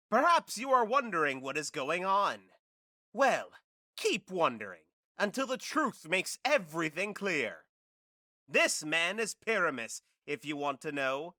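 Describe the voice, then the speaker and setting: Man acting a slow, swooping, earnest amateur prologue speaker. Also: high voice, sharp tone, no room sound, studio quality, exaggerated voice. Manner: overblown, declamatory. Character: earnest amateur actor reciting a play's prologue. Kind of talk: theatrical audio drama recitation.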